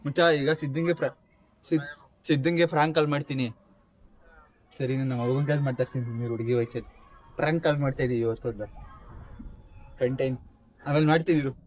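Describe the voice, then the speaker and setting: A man's voice: medium pitch, fast, long pauses, darkish tone, a little hiss or hum, rough recording. A young man hosting a casual vlog, teasing and talking straight to his viewers. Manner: teasing, addressing viewers directly. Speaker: vlogger, host.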